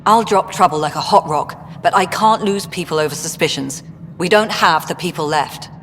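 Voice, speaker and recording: A woman's voice: fairly low pitch, normal speed, a bit bright, faint music behind. An actress playing a tired, blunt female colony director who speaks curtly. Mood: weary blunt. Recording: game audio with long reverb and faint noise.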